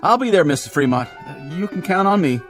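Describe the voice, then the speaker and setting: An actor plays a timid townsman in a radio drama, agreeing too eagerly out of fear. He stammers, and his intonation jumps about. Music is clearly audible behind him. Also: a little high, lively intonation, a little fast, lightly acted. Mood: nervous, placating.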